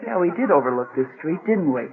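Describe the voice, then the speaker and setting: An actress playing a brisk, teasing, forthright woman politician in a radio drama, direct and wry. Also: low-pitched, animated, narrow, tinny audio.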